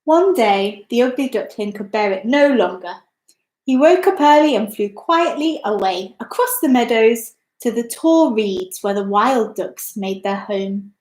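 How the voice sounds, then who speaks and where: A woman narrates a children's story expressively and deliberately. Her voice is fairly high, with very lively intonation, and the room around it is audibly echoey. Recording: echoey, roomy sound.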